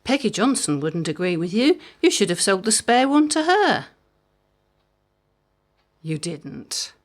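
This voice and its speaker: Actress playing a lively, wry, mischievous mum. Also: female voice, medium pitch, unhurried. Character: chatty, wry mother with a mischievous streak. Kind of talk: audio drama comedy dialogue.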